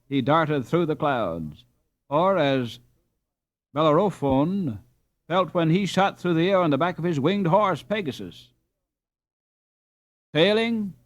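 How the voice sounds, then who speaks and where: Animated male storybook narrator. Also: a little high, dry recording. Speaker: audiobook narrator. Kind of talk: expressive scripted storybook narration.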